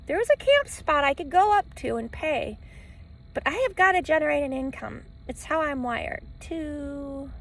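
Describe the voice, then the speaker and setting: A woman with a high voice full of fry talks in a loose personal vlog. Her delivery rambles, stops and restarts, circling back on phrases to stress them. She is recorded inside a parked van, with a faint wash of ocean noise behind her. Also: low-quality recording. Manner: stop-and-start, rambling, repeats phrases for emphasis. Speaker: travel vlogger living in a van. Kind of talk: rambling personal vlog, talking to camera and to a dog.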